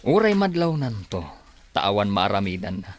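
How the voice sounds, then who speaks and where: A man's voice: on the low side, very animated, normal speed. A man playing a scheming, gloating plotter in a radio drama, sly and mocking. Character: scheming, gloating male plotter after a mansion.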